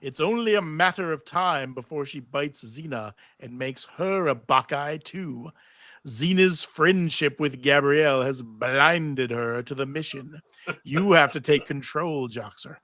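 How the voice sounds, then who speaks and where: Casting: man as embittered, urgent male fantasy companion, drawn-out scolding delivery, bright edge. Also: a little high, no echo. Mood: sly, urging. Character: Orpheus, bitter urgent male head scolding his companions. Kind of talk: live table read of a TV fantasy script.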